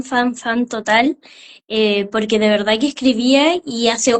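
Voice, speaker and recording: A woman hosting a live-stream interview, asking questions in a chatty way with many "eh" and "ujú" fillers. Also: a little high.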